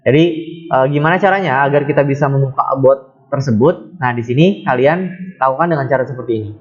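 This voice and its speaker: A man's voice: medium pitch, fast, dull audio. A man giving a coding tutorial, talking unhurriedly with many fillers and self-corrections. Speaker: programming tutor. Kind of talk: screen-recorded coding tutorial.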